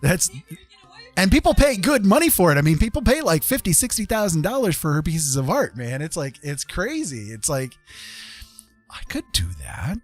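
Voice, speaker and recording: Guy streamer just rambling and joking at his own expense, super casual, lively up and down voice, faint music behind. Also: high voice, normal speed. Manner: self-deprecating, jokey, colloquial. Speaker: live streamer and digital artist. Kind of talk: casual rambling livestream chat.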